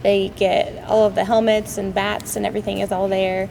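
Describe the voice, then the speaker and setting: A woman's voice: medium pitch, little pitch movement, vocal fry, a little mellow, a little hiss or hum, low-quality recording. A woman guest from a community club talking casually in an interview, often using "um" and "like.". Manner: quick, with "um"s and "like"s.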